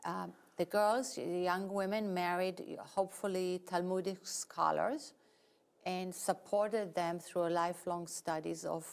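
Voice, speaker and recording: A woman with an Israeli accent speaks in a measured, explanatory way, setting out facts with care. Her voice sits somewhat low for a woman. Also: vocal fry. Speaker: guest novelist. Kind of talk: interview answers.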